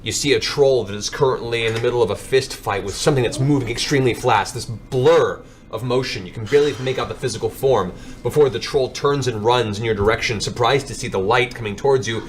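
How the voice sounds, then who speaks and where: A man's voice: medium pitch, fast talker. A man runs a tabletop fantasy game as its narrator. He lays out scenes and action with dramatic storytelling, speaking in a mid-range voice. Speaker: game master.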